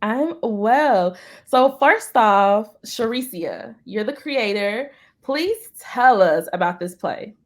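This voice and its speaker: A woman's voice: mid-range voice, lively intonation, slow pace. A woman hosting an interview, putting questions to guests. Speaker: interviewer, host. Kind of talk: interview questions to a stage play cast.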